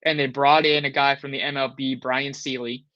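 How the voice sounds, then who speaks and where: A man's voice: mid-range voice, level tone, normal speed, no echo, lo-fi sound. A man in his thirties co-hosting a sports talk show, rambling with restarts and frustrated. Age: 30s. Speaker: podcast co-host.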